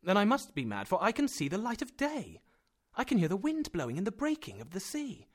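A man voicing an excitable, inquisitive young nephew in a storybook, eager and questioning. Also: on the high side, brisk. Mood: bewildered, insistent.

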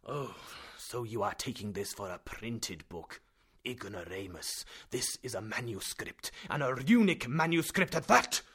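A man voicing an excitable, impatient fifty-year-old German professor who scolds and lectures loudly. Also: mid-range voice, moderate pace, breathy edge. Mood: indignant.

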